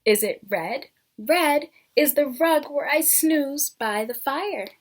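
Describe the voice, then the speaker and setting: A woman's voice: sits high, lively intonation, takes it slowly. A woman teacher reading a picture book aloud to young children, explaining things simply and asking them questions.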